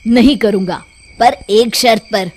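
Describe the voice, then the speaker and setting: A woman's voice: on the high side, a little slow. Woman voicing a bold, clever village man, emphatic. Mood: firm, teasing. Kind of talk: children's story dialogue. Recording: background music under the voice.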